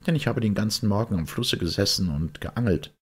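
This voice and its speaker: A man voicing a self-assured, puzzled doctor who explains things deliberately in an audiobook detective story. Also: fairly low pitch, breathy edge, pitched above the performer's own voice. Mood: puzzled, insistent.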